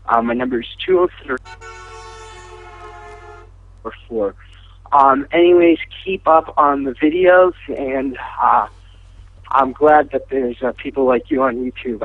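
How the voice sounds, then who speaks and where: Husky man on a tinny voicemail. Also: medium pitch, animated, measured pace. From United States. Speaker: caller leaving a voicemail. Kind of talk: hesitant casual phone message. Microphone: telephone. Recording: tinny, lo-fi phone voicemail line.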